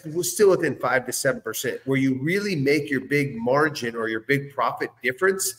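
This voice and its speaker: A man's voice: medium pitch, normal speed, a bit bright. A man in casual conversation, speaking earnestly as a co-host and often repeating and rephrasing himself. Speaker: business owner and guest co-host.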